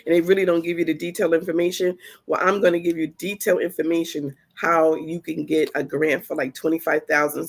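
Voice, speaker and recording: A woman hosts a podcast in a casual, chatty way. Her voice sounds a little congested from the flu, and she talks with animated energy. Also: on the low side. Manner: chatty, animated storytelling with laughs. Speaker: podcast host.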